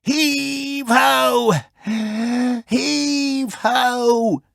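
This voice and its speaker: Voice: sits high, fairly even intonation, very slow, creaky, fairly bright tone, clean tone, dry recording, clean studio sound, voice pushed up, full character voice. A storybook narrator voicing a plucky, friendly little male cuddly toy. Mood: eager, determined.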